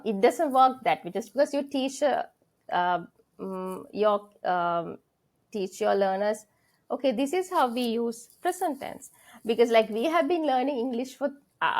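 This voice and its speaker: A middle-aged woman from Sri Lanka with a Sri Lankan accent, a senior English lecturer being interviewed, talking earnestly and thinking aloud. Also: a little high. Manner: earnest, thinking aloud with frequent fillers and restarts. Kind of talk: conversational interview.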